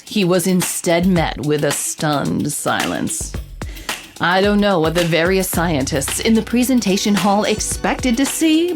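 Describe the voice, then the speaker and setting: An actress playing an investigative reporter, narrating a scripted audio drama with measured, descriptive storytelling. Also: female voice, fairly low pitch. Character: female reporter narrating her investigative holocast.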